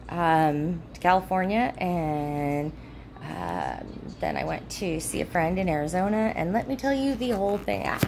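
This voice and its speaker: A woman in her thirties talks casually into a phone held at arm's length, her lowish voice full of gravelly fry. She rambles with plenty of ums and restarts. Faint noise hums under the motel room. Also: a little mellow. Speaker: vlogger. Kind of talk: casual chat to followers.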